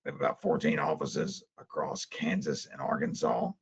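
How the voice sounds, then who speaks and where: A man with a very raspy, strained voice, giving a webinar presentation as a CPA. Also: extremely low pitch, sing-song, normal speed. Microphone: webinar call. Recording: dull lo-fi webinar audio.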